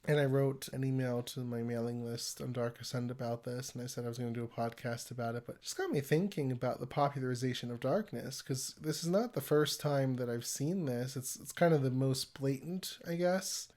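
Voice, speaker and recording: A man's voice: mid-range voice, fairly quick, hardly any pauses. Stuffy-nosed man chatting casually. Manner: rambling, animated storytelling with laughs. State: congested from a cold. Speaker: podcast host. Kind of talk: casual conversational podcast.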